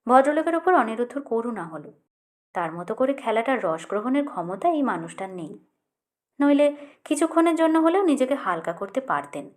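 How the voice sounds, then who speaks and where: High-voiced woman reading a story. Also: big pitch swings, moderate pace. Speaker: audiobook narrator. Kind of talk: expressive literary story narration.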